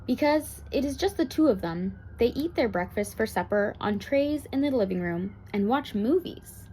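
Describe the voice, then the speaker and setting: A woman's voice: a little high, lively intonation, normal speed, dark tone, a little hiss or hum, poor audio. A woman narrating a children's picture book aloud as a bedtime story. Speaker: storybook reader.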